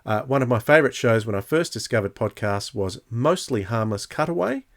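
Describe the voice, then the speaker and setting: A man with a mid-pitched voice hosts a casual podcast. He speaks in a halting, hesitant way, moving between reading aloud and chatting off the cuff. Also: animated. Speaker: podcast host. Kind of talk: casual podcast talk, reading out listener comments.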